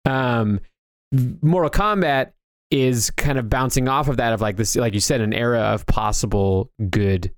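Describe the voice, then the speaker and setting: A man, around his thirties or forties, talks in a casual, anecdotal way as a co-host. His voice has an average pitch for a man. The sound is dry, with very little room around it. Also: unhurried, clear, resonant tone, dry recording. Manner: conversational, anecdotal. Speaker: talk show co-host. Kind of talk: casual film discussion.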